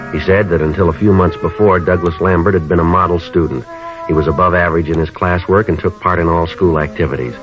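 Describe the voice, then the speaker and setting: A man acting a stern, calm police detective in a 1950s radio drama, speaking in a clipped, matter-of-fact way. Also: extremely low pitch. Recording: muffled old radio recording, faint music.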